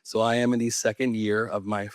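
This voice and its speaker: A man's voice: lowish voice, little pitch movement, fairly quick, no room sound. A man in his thirties from California, a mayor speaking on a conference panel, talking with earnest, passionate conviction. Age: 30s. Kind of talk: panel talk to an audience.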